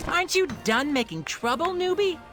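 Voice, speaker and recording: Game student: bossy and shrill, extremely high animated voice over music. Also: female voice, normal speed, heavily acted. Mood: scolding. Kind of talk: video game voice acting. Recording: music under the voice.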